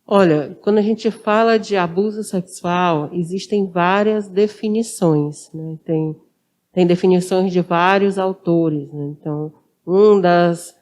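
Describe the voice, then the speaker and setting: A woman's voice: lowish voice, slow pace, darkish tone. A woman speaking as an interview guest, explaining didactically and repeating phrases for emphasis. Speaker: interview guest, social worker.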